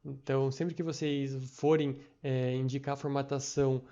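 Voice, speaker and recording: A young man from Brazil giving a screen-recorded programming lesson, halting, with many fillers and restarts. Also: mid-range voice, level tone, mellow. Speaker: tutor. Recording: dull lo-fi mic.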